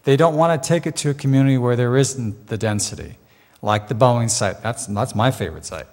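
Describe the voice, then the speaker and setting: A man's voice: medium pitch, fairly quick, a little mellow. A man on a community board, speaking at length in an earnest, diplomatic way at a public meeting. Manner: earnest, long-winded, diplomatic. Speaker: community services district board member. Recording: reverberant meeting hall.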